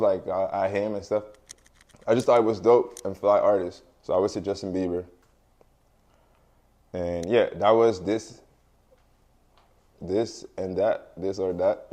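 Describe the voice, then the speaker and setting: A young man whose first language is French, answering interview questions in a fairly low voice. He sounds relaxed and easygoing, leaning on fillers and laughing often as he talks. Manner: laid-back, filler-heavy, laughs often. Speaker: music artist guest.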